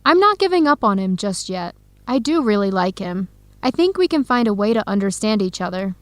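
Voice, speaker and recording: A woman playing an earnest young Ethiopian-woman in an educational radio drama, speaking clearly and deliberately. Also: mid-range voice, big pitch swings, no room sound, close to an everyday voice. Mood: uncertain, hopeful.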